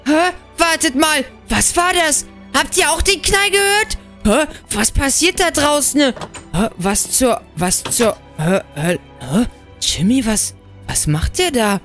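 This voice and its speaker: A woman voicing an excitable, nervous older brother in a game role-play, exclaiming to viewers and stammering when flustered. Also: high voice, slow, soft background music, full character voice. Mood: shocked.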